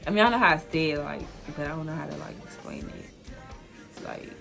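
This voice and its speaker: Chatty young D.C. woman, low-pitched voice. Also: normal speed, vocal fry, fairly bright tone, soft background music. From Washington, D.C. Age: 20s. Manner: loose and chatty, heavy "like" fillers, easy laughter. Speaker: vlogger. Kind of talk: casual solo vlog explainer.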